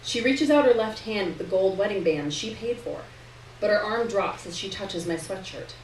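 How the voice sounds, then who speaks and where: A woman's voice: mid-range voice, expressive, normal speed. A woman reading a literary story aloud at a live event indoors. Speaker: story reader. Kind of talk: literary narration read aloud to an audience. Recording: roomy distant live recording, noticeable hiss.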